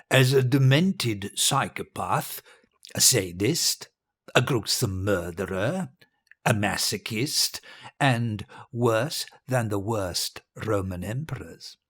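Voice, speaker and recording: Husky, slow old dictator, dying. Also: male voice, fairly low pitch, fairly bright tone, exaggerated voice. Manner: grandiose, rambling, imperious, halting. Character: cruel, vain old dictator giving his dying speech. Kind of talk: dramatic monologue in an audio drama.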